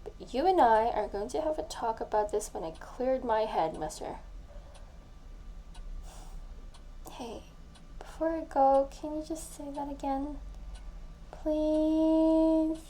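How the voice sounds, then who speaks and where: A tipsy young woman played by a voice actress pours out her love to her best friend in an intimate audio drama. Her voice is soft, mellow and fairly high, breathy and halting, with slow, slurred words. There is faint noise behind her. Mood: tender, playful. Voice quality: soft, breathy, often dropping to a whisper. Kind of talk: intimate audio roleplay acting.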